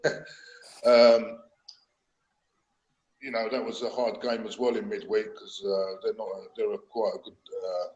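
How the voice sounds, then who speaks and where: A man's voice: on the low side, level tone, unhurried, pauses often, darkish tone, roomy sound, dull audio. A man chatting casually on a fan podcast, hesitant with frequent uhs. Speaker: podcast panelist. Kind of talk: casual chat.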